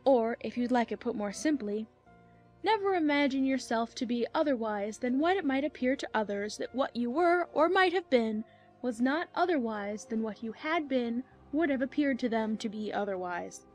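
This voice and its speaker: A woman's voice: a little high, lively intonation, normal speed, darkish tone, faint music behind. A woman playing a gushing, moralizing Duchess with an affected manner in a dramatized audiobook. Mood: smugly moralizing.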